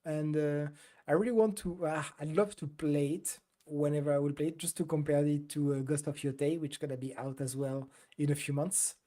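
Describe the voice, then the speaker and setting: A woman's voice: low voice, fairly even intonation, a little fast, warm tone. A middle-aged woman co-hosting a casual gaming podcast, talking loosely with many fillers and hesitations. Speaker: podcast co-host.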